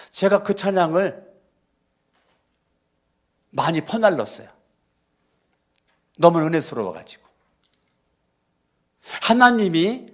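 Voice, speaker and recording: A man preaching a sermon as pastor in a church, moving between quiet storytelling and loud, fervent exhortation. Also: sits high. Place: church sanctuary.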